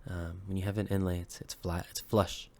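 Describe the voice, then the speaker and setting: A slightly sick man in his thirties, from Hawaii, talks low and unhurried through his collection of handmade pieces. He fills gaps with ums and uhs and often stops to correct himself. The delivery stays casual, like a home show-and-tell video. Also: little pitch movement, warm tone. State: slightly sick, recovering from illness. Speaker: woodworker and weapon maker.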